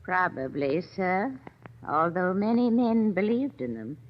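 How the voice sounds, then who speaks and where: Low, slow, eerie shopkeeper. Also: female voice, big pitch swings. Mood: portentous. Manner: measured, insinuating, portentous. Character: eerie, ominous antique shop keeper, female, deferential. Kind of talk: 1940s radio acting. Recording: dull, hissy old radio recording.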